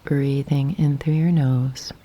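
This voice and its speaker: Breathy, low, slow-speaking woman guiding meditation. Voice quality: soft, breathy, half-whispered. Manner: gentle, calm instruction with long pauses. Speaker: meditation guide. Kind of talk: guided yoga nidra meditation. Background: birdsong and nature sounds.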